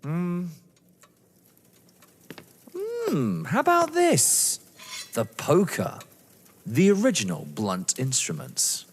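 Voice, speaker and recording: An actor playing an exasperated, wary young British man, indignant and excitable, in stage-style audio drama. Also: high-pitched, slow, pauses often, a little hiss or hum, heavily acted. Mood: hesitant, puzzled. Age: young adult. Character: exasperated, wary young male lover drawn into a scheme. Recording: echoey hall reverb.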